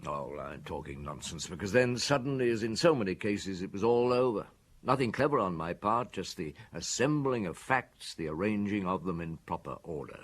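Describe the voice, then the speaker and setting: A middle-aged police detective in a radio drama, a man worn down by guilt. His voice sits low with a husky grain. He speaks in a measured, reflective, methodical way. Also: animated. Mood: weary, regretful. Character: weary, guilt-ridden, methodical male police detective chief.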